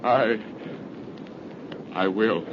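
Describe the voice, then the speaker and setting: An actor playing a distraught, disbelieving young man in love, earnest and melodramatic, in an old radio horror drama. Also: extremely slow. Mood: disbelieving, shaken. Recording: muffled, noisy vintage radio recording with rumble.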